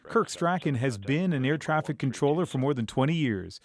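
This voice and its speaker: A man's voice: on the high side, expressive, moderate pace, faint music behind. A man with a Canadian accent working as a TV news reporter, delivering scripted narration and interview questions.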